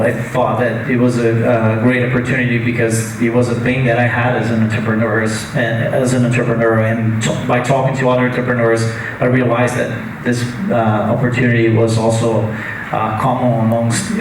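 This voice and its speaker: A Brazilian-accented man introducing himself as a startup founder at a conference panel, halting with many fillers and restarts. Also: medium pitch. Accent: Brazilian Portuguese. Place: conference hall. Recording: echoey hall, distant mic, noisy.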